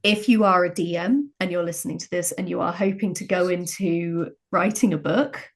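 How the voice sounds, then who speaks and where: A woman's voice: lowish voice, steady tone, normal speed. A woman guest chatting and giving practical advice, telling anecdotes in a conversational way. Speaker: guest, novelist and game writer.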